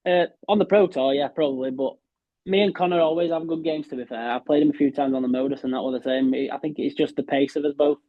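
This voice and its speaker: A Yorkshire man talks in a relaxed, modest way, often restarting his sentences. His delivery stays fairly flat. The sound is dry and tinny, like a phone line. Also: a little high, quick pace, dry recording. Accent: Yorkshire English. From Yorkshire, England. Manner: chatty, modest, frequent restarts and "were" for "was". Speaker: professional darts player, guest. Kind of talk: casual podcast interview.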